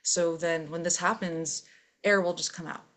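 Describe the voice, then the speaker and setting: A young adult woman, a metal singer, talking openly as an interview guest in a relaxed, chatty way with frequent fillers. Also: fairly low pitch, normal speed. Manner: relaxed, open storytelling with fillers. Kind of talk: casual interview chat.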